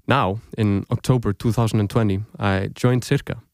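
The host you would hear in earbuds on a thoughtful podcast: a young Icelandic man, native in Icelandic, telling his story at a measured pace in a dry, echo-free booth. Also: fairly low pitch, steady tone, a little mellow. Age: young adult. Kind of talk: scripted podcast narration.

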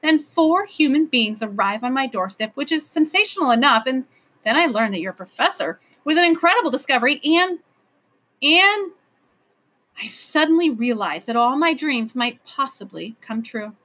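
A woman acts out a male royal creature from a children's storybook, bright and high-pitched. He is grand, excitable and imperious, with a lonely, insistent streak. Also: moderate pace, full character voice. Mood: wistful, hopeful. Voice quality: lisping.

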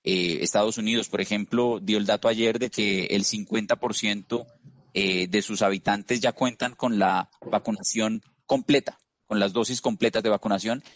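A man with a Colombian accent and a fairly low voice, walking through market views in a steady, measured way. He fills pauses with frequent "eh"s and restarts phrases. The sound is dry and close. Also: no echo. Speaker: investment strategist guest.

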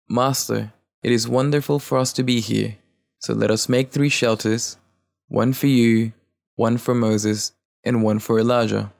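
A man's voice: mid-range voice, creaky, a little mellow. A man reading a gospel passage aloud as a volunteer reader.

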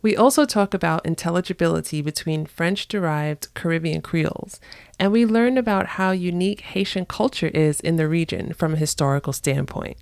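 A woman speaks as a podcast host in a conversational interview style. Her voice sits on the low side. The sound is dry, with very little room in it. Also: moderate pace, creaky, darkish tone, smooth, no room sound.

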